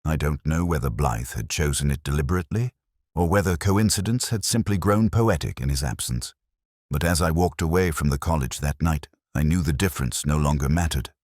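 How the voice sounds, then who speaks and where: Male actor as older detective narrator for audio drama: extremely low voice, reflective, weary, literary delivery, dry studio sound. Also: expressive, a little mellow, no room sound. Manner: measured, literary, melancholic. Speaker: first-person narrator.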